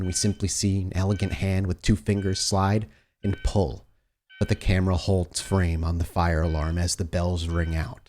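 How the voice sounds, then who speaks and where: A man's voice: sits low, a little mellow, slight background noise. A man narrating a tabletop roleplaying game as storyteller, describing scenes like film shots.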